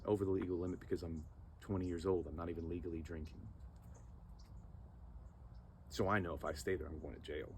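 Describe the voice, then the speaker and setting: A man in his thirties telling a personal story in an earnest, animated way, acting out moments with sound effects. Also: low voice, a little hiss or hum.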